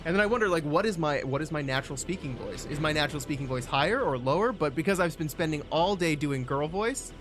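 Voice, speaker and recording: A man's voice: fairly high pitch, lively intonation, fast, hardly any pauses. A man co-hosting a game livestream in casual chat, rambling and restarting his sentences. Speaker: livestream co-host. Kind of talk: casual chat over gameplay. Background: game music.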